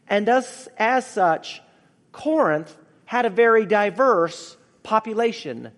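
High-pitched male pastor in a reverberant hall. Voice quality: slightly raspy. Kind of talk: sermon.

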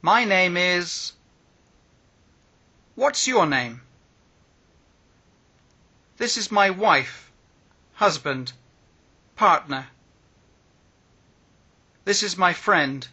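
A man reads travel phrases aloud, one at a time, at a very slow, deliberate pace. His voice is high and very bright, with a lively, rising and falling delivery. A faint huskiness sits under the tone. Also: slightly rough. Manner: reads each phrase slowly with pauses. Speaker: language teacher.

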